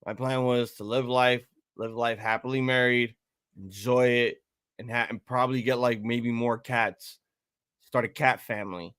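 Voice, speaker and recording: A man in his thirties hosting a live-reaction stream, chatting casually and rambling with profanity and sudden loud excited outbursts. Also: medium pitch, unhurried, clean tone, dry recording, studio quality. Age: 30s. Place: home room at a table.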